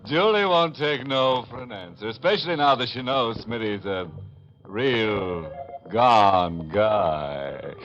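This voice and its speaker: An actor playing a ghoulish, mocking host of a 1940s radio horror show, with sardonic, chuckling delivery. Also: male voice, lowish voice, animated, a bit bright. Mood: mocking glee. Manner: sardonic, chuckling, drawn-out puns. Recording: dull 1940s radio recording.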